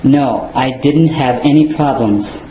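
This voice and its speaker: A man's voice: medium pitch, slow pace, mellow, slight background noise. A man playing a citizenship applicant, giving short, plain answers. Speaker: applicant in a mock interview. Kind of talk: scripted role-play. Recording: echoey room.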